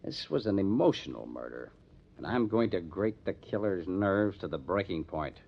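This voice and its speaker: An actor playing a hard-nosed, determined police detective in an old-time radio crime drama, speaking in a measured, emphatic way. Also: male voice, low-pitched, big pitch swings, vocal fry, slightly rough, exaggerated voice. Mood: grimly determined. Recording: dull lo-fi old radio recording.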